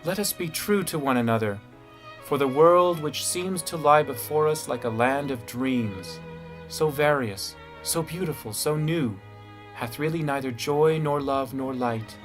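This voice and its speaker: A troubled, earnest husband, played by a man recites melancholy verse very slowly in a mid-range voice. The solemn dramatic reading has faint music beneath it. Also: mid-range voice, lightly acted. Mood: solemn, mournful.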